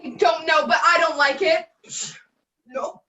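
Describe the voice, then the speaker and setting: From across an echoey room, an overexcited soldier played by a young woman calls out in a very high voice. The delivery is slow, goofy and loud, in comic radio play style. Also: fairly even intonation, highs cut off. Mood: wary. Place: bedroom, on a video call. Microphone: distant computer microphone. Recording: roomy, distant mic, noisy.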